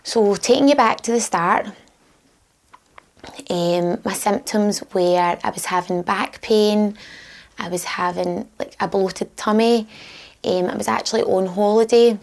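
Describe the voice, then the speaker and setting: Woman, mid 30s, Scottish accent, matter-of-fact, gravelly creaky voice. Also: medium pitch, a little mellow. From Scotland. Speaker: mother of four. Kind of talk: personal testimony.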